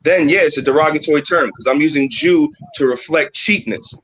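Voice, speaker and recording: A New York man chats casually with friends, still groggy from sleep, and lays out his points firmly and at length. His voice sits a little higher than most men's. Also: brisk, fairly bright tone, a little hiss or hum, low-quality recording. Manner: explains firmly, lectures the group. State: just woke up, deep morning voice. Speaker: podcast co-host. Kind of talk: casual group chat.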